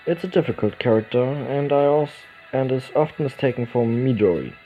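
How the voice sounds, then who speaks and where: A man reading a visual novel's narration aloud, slowly and with small stumbles and restarts. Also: medium pitch, lively intonation. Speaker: let's play host. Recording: dull lo-fi recording with game music behind.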